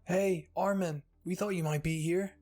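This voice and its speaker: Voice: mid-range voice, steady tone, normal speed, a little mellow, natural voice. A narrator voicing a brash, curious teenage boy in a fan fiction reading, blunt and teasing. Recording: music behind the voice.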